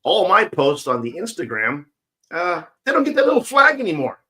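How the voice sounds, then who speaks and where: A man with a bright voice that moves up and down talks in a casual podcast chat. He mostly agrees and adds short remarks. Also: high voice, wildly swooping pitch, normal speed, husky. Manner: agreeable, chiming in briefly.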